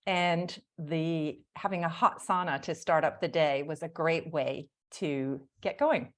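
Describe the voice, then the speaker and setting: A woman's voice: sits low, unhurried. A middle-aged woman from Canada, an author chatting as the guest in a video call interview, talking with frequent ums and self-corrections. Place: indoor home room. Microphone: earphone headset on video call.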